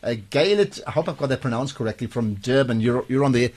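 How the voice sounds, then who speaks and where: Brisk male radio call-in host. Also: mid-range voice, darkish tone, no room sound. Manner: brisk, hurries callers along. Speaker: radio talk show host. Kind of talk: live call-in radio hosting.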